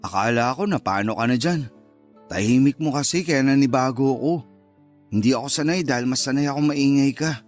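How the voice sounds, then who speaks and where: A man's voice: mid-range voice, brisk, faint music behind, close to an everyday voice. A man acting a cocky, teasing and easily wounded secret lover, with a Filipino accent, in a radio love drama.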